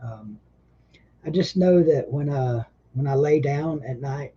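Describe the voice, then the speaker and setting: Rambling man, husky and mellow. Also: on the high side, measured pace, highs cut off. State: short of breath. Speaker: livestream host. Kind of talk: casual livestream chat.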